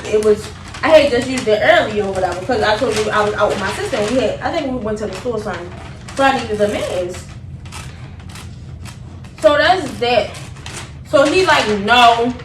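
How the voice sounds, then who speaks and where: Young woman, chatty storytelling, slightly high pitch, distant mic in echoey room. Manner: animated retelling with quoted dialogue. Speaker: vlogger. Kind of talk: casual storytelling chat. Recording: roomy, noisy, distant microphone.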